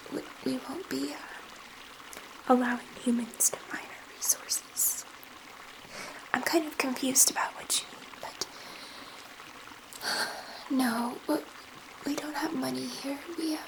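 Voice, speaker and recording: A woman playing a gentle, curious alien scientist in an ASMR sci-fi roleplay, speaking in a soft, breathy whisper with a hesitant, soothing manner. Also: high-pitched, poor audio.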